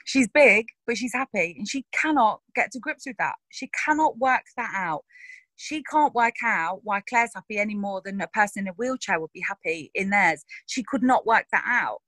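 A woman's voice: mid-range voice, expressive, normal speed, bright, forceful tone, dry recording, rough recording. A woman, an actress talking frankly and animatedly in a casual interview, swearing freely and laughing often. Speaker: actress discussing her roles.

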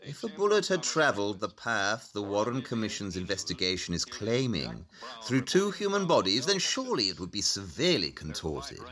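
An actor playing a brilliant, sceptical detective in an audio play, speaking precisely and formally. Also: male voice, on the low side, huge pitch swings, fairly bright tone. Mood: probing. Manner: precise, formal, theatrical, measured deduction.

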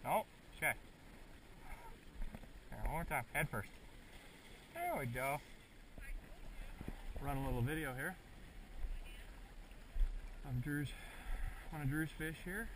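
A man's voice: fairly high pitch, big pitch swings, slow, pauses often. Guy out fishing talking casual and jokey, voice swings up and down, noisy gopro audio. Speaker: amateur angler filming a fishing trip. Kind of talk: casual chat while fishing. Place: outdoors, wading in a river. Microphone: action camera worn or handheld. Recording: distant action-camera audio, loud river noise.

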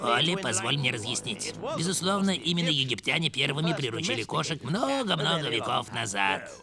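A man voicing a grumpy, sly stray cartoon cat, creaky, sardonic and theatrical. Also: medium pitch, big pitch swings, fairly quick, music in the background. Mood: lecturing, irritated. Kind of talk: exaggerated children's cartoon dubbing.

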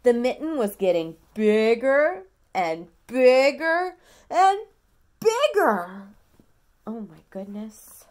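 A woman in her thirties reading a picture book aloud to a toddler at home, dramatically, pausing to ask simple questions. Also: high voice, a little mellow. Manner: dramatic, childlike questioning and prompting. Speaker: parent reading a bedtime story to a toddler. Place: small quiet room at home.

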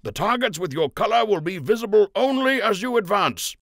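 A man's voice: high voice, animated, brisk, a bit bright, dry recording, studio quality, pitched above the performer's own voice. A man voicing a stern, rousing rabbit army captain in an audiobook, declaiming commands to his archers. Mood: commanding. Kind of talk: audiobook character voice.

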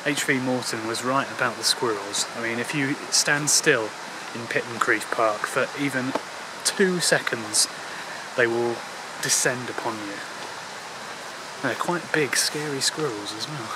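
A man in his thirties giving a casual, halting walking commentary as a travel vlogger, speaking outdoors into a handheld camera. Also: medium pitch. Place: outdoors in a park and old town streets. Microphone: handheld camera at arm's length. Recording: clear outdoor ambient noise.

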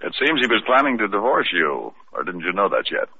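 An actor playing a tough, wisecracking private detective who also narrates, in 1940s radio acting style. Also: male voice, lowish voice, big pitch swings, brisk, pushed and bright, husky, exaggerated voice. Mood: dry. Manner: dry, wisecracking. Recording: tinny old radio with faint hiss.

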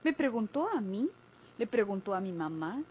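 Woman as nosy young Mexican lady, very expressive. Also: medium pitch, moderate pace, a little hiss or hum, close to an everyday voice. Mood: suspicious. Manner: pointed, inquisitive. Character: inquisitive, suspicious young single woman, prying and insistent. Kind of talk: golden-age Mexican radio drama acting.

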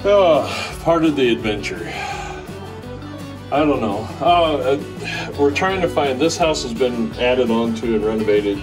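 A man's voice: mid-range voice, lively intonation, measured pace, music in the background, poor audio. A middle-aged man casually explaining house wiring work, practical and self-joking. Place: home interior.

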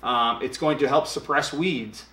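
A man in his thirties talks a product through in a relaxed, unhurried way, sprinkling in ums as he explains. His voice sits at an ordinary middle pitch, and the room adds a noticeable echo around it. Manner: relaxed explaining with hand gestures and filler ums. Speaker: product expert. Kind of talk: casual product talk. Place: dark studio set, indoors. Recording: roomy echo.

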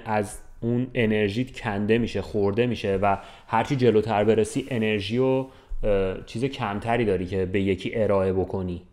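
A man's voice: fairly low pitch, darkish tone. A man in casual podcast chat, explaining things colloquially and checking in with the listener often. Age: 20s. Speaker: podcast co-host. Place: small bright room with large window.

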